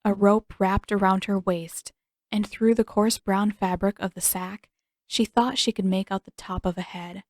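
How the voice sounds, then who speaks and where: A woman narrates a story in a scripted audiobook style. Her pitch is average for a woman, and the recording is dry and close. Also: fairly even intonation, unhurried, a little mellow, no echo.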